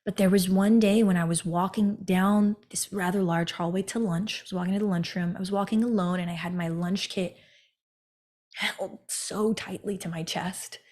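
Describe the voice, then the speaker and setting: A woman hosting a podcast, telling her own story in an earnest monologue and often turning to the listener with a quick "right?". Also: medium pitch, a little mellow, husky. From United States. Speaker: podcast host.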